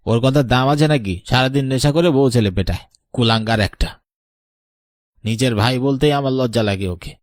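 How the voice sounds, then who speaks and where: A man's voice: mid-range voice, moderate pace, no room sound, close to an everyday voice. Man acting a dismissive village husband, dry sound. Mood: scornful. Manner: dismissive, reassuring his wife. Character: dismissive, skeptical village husband disparaging his drunkard brother.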